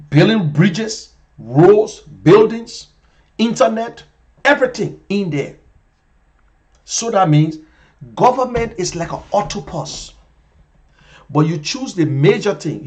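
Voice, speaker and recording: Emphatic Liberian man in his fifties. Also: sits high. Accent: Liberian English. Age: 50s. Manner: emphatic, repetitive, swings between loud and quiet. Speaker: political talk show host. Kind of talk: impassioned political monologue.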